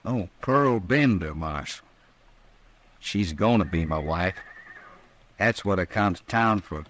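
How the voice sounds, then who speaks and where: An obstinate young-love-struck cowhand in a radio Western. His voice is low, with a rural Western American drawl, halting and brooding. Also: animated, fairly bright tone, heavily acted. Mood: earnest, stubborn. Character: stubborn, lovestruck, brooding cowhand with a rifle. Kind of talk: 1950s radio drama acting.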